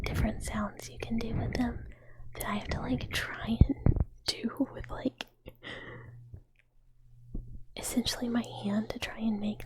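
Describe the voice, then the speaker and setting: A woman's voice: a little high, low-quality recording. A woman whispering through a rambling, playful ASMR chat with viewers, full of fillers. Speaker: ASMR streamer.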